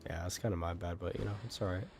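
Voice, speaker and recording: A man's voice: deep voice, steady tone, quick pace, over music. A man giving casual, slangy, sweary commentary as he streams a game.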